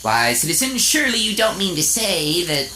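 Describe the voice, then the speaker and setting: An earnest little robot boy hero, acted out by a man in a comedic radio serial. His polite, gee-whiz delivery jumps up and down in pitch. The track is clearly noisy, with ambient sound behind him. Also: sing-song. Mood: flustered. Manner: polite, gee-whiz heroic, halting when hurt. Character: earnest chipper robot boy hero, small and easily hurt.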